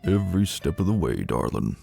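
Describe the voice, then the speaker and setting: A man voicing a big, gentle stallion of few words, tender and devoted, in a dramatic fan fiction reading. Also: low-pitched, very animated, brisk, gravelly, faint music behind, full character voice. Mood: tender, content. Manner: laconic, tender.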